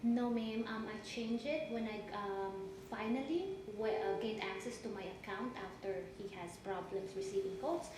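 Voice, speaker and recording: A woman from the Philippines with a Filipino accent, whose first language is Tagalog, giving halting courtroom testimony with many restarts. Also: a little high. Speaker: witness in a divorce hearing. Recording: echoey courtroom, background noise.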